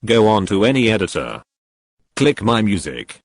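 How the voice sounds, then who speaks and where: A man's voice: on the low side, sing-song, normal speed, no echo. A synthetic, robotic male text-to-speech voice reading a scripted tutorial in a stiff, evenly phrased way. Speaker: tutorial video host.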